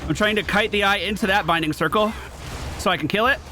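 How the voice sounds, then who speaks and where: A man doing live gaming commentary, excitable and exclamatory, swearing and rapidly repeating words. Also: high voice, heavy background noise. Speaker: video game streamer.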